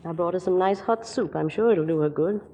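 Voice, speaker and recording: Low-voiced doting aunt with menace. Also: female voice, brisk, mellow. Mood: sweet, fussing. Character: outwardly doting aunt, a quietly menacing killer. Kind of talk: 1940s radio drama acting. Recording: dull old radio recording, long reverb.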